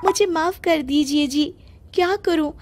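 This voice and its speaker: A woman's voice: sits high, unhurried, loud music behind the voice. A woman voicing a dutiful, apologetic young bride who sews, with a polite, deferential manner in a cartoon family drama. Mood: meek, apologetic.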